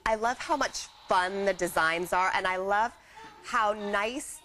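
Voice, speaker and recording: A woman with a medium-pitched voice demonstrates products on a live shopping show. She sounds upbeat and chatty, telling it like a personal story, with faint noise behind her. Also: measured pace, fairly bright tone, poor audio. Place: TV shopping studio. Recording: faint background studio noise.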